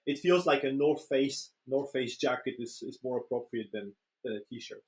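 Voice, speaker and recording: A man's voice: medium pitch, normal speed, a little mellow, poor audio. A man from Poland, explaining conversationally as a guest, hesitant and repeating words as he goes. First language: Polish. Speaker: interview guest, professional trainer.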